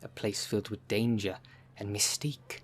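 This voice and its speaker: A man acting a brisk, wry city witch in an ASMR roleplay audio drama, talking in a dry, instructive way. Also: lowish voice, steady tone, slight background noise, close to an everyday voice. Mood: dramatic.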